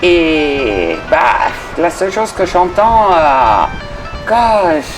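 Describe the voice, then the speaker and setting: Slow, lively, hesitant woman with music under. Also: low-pitched. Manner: hesitant, many fillers and restarts. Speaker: interview guest. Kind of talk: conversational interview.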